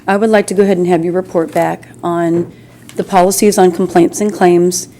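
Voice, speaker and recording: Community member at a public meeting: American woman, lowish mellow voice, earnest, halting, insistent. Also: steady tone, slight background noise. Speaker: community member speaking in public comment at a school board meeting. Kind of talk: earnest, informal public address.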